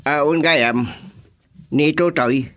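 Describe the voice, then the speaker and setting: A man's voice: on the high side, slow, a bit bright. A man acting an easygoing, chatty village father with an Ilocano accent in a radio comedy drama. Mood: curious, relaxed.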